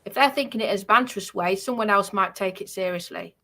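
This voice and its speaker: A woman's voice: medium pitch, brisk, bright, forceful tone. A woman in an aggrieved, emphatic livestream talk, often repeating herself to press her point.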